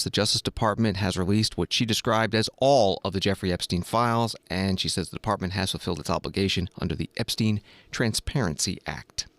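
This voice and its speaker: A man hosting a news program, reading scripted news in a measured, even way. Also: lowish voice, dry recording. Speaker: radio news host.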